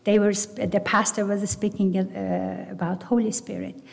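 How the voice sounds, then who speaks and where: A middle-aged woman with a Persian accent, whose first language is Farsi, earnestly telling her personal faith story with halting restarts. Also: mid-range voice, flat delivery, gravelly. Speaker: testimony speaker. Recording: long hall reverb.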